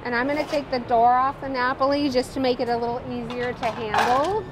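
A woman chef walks through a recipe step by step in a relaxed, explanatory way, her voice sitting a little high. She is recorded outdoors on a lapel mic, with clear traffic and outdoor noise around her. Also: a little fast. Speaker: guest chef demonstrating a recipe. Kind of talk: cooking demonstration. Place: outdoor kitchen showroom patio.

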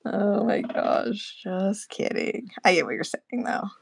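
A woman with a slow, gravelly voice chatting in a dry, close-sounding room. She jokes and teases often, her pitch swinging up and down as she talks. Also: dry recording. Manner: joking, playful, laughs often. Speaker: artist co-host. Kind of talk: casual chat while drawing.

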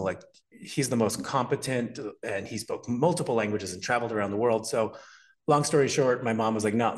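A man in his forties from Montreal, a fitness expert guesting on a podcast, telling his life story in an animated, chatty way. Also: on the low side. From Montreal, Canada. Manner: animated, storytelling, laughs often. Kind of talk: casual interview chat.

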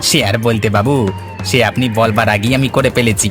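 A man's voice: mid-range voice, steady tone, fairly quick, natural voice. A man voicing a crafty eatery helper in a cartoon story, sly and obsequious with his boss. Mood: sly, servile. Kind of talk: Bengali cartoon story voice acting. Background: music.

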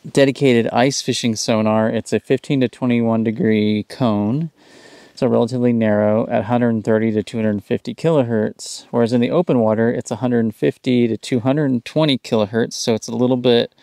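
A man with a low, gravelly voice talks through his ice fishing setup outdoors on a frozen lake. He explains things in a calm, practical way and gets upbeat when a fish bites. He is picked up by a camera standing a few metres away. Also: dry recording. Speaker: fishing channel host. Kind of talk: casual how-to demonstration.

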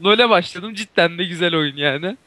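Tiz, parlak sesli erkek oyun arkadaşı. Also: male voice, high voice, fairly quick, sharp tone, a little hiss or hum. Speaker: friend and guest co-commentator. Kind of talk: casual chat during a game.

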